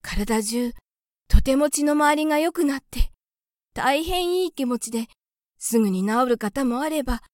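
A woman doing a mother field mouse, very high and loud, anxious, pleading, humbly polite, dry close sound, storybook reading. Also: expressive, unhurried, no room sound. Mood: polite explaining.

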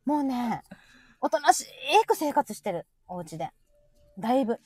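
A middle-aged woman with a Kansai accent, chatting casually and telling everyday stories in an animated, talkative way as a stream host. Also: on the high side, normal speed, husky, dry recording. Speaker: live audio stream host. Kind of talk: casual chat.

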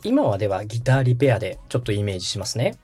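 A man's voice: lowish voice, big pitch swings, very fast, mellow, slightly rough, soft background music. A man hosting a podcast, interviewing and coaching a guest in a polite, instructive conversational manner. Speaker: podcast host, radio director coaching guest.

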